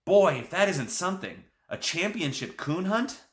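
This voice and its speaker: A man's voice: fairly high pitch, animated, moderate pace, pushed and bright, voice pushed up. A man voicing an eager young country boy, talking in an astonished, wide-eyed way.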